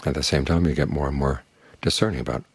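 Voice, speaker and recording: An older man, a Buddhist monk, giving a calm, plain-spoken evening dharma talk that leans on homely images. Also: a bass voice, fast, breathy edge, no room sound.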